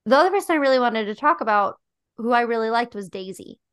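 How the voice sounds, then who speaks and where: A young adult woman co-hosting a podcast, chatting in a thoughtful, reflective way with frequent fillers, with a voice she calls high-pitched. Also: lively intonation, fast, fairly bright tone, clear, resonant tone, dry recording, clean studio sound. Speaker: podcast co-host. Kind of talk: casual chat about a dating show.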